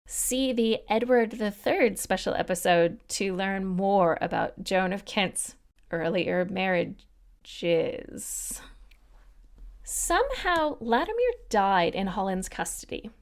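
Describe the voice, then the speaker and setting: Woman, podcast host, history narration, scripted, dry humorous asides, mid-pitched. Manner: wry asides to the listener.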